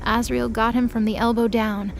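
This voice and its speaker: A woman's voice: fairly high pitch, little pitch movement, normal speed. A woman reading scripted, dramatic story narration as the narrator of an audio drama. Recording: clear background noise under voice.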